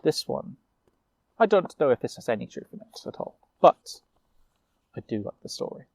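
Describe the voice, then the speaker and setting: A man telling a fantasy tale in character, moving between informal asides and measured narration. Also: medium pitch, big pitch swings, vocal fry, no echo. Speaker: storyteller.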